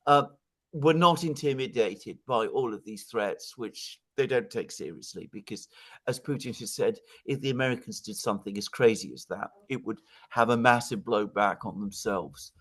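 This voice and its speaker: A man's voice: fairly low pitch, moderate pace, fairly bright tone. A man giving conversational political analysis as a guest commentator, speaking emphatically and repeating words for stress.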